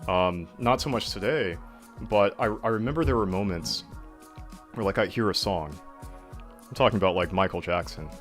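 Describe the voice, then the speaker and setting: A deep-voiced man tells stories in an animated, easygoing way, packing his sentences with casual fillers. Faint music plays underneath. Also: moderate pace, pauses often. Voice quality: deeper than average voice. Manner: animated storyteller, filler-heavy, laughs easily. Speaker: guest artist. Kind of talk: casual video call chat.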